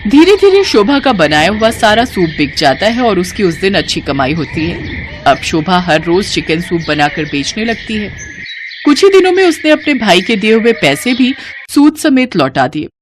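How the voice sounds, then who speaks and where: A woman's voice: mid-range voice, big pitch swings, moderate pace, background noise. A woman reading a scripted moral story as its narrator.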